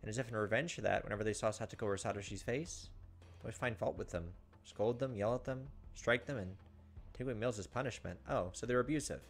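A man's voice: fairly low pitch, quick pace. A man, reading visual novel text aloud as a gaming host, with casual asides. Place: indoors, recording at a computer. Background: faint game music.

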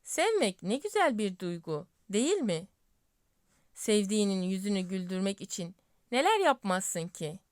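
A woman's voice: mid-range voice, big pitch swings, moderate pace, dry recording, studio quality, close to an everyday voice. A woman voicing a wise, kindly North Star who gives earnest counsel in a fairy tale.

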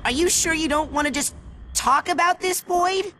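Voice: very high voice, normal speed, noisy background. An actor playing a cocky, earnest young boy cadet in a video game. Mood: wary.

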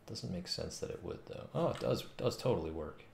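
Low, gravelly man muttering to himself. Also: animated, fairly quick, darkish tone. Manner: muttering in short fragments. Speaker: game developer streaming coding. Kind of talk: thinking aloud while coding. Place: small room at home. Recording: roomy, noisy, keyboard clicks. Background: keyboard typing and mouse clicks.

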